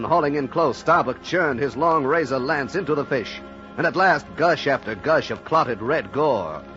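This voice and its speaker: Solemn sailor narrating, music behind. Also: male voice, mid-range voice, bright, forceful tone. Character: whaling sailor narrating a sea tale, solemn. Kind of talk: dramatic scripted narration in old-time radio. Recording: dull old radio recording, music behind.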